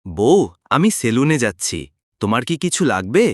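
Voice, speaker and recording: A man's voice: medium pitch, very animated, moderate pace, no room sound, studio quality, close to an everyday voice. A man voicing a considerate, agreeable young village husband in a cartoon folk tale. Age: young adult.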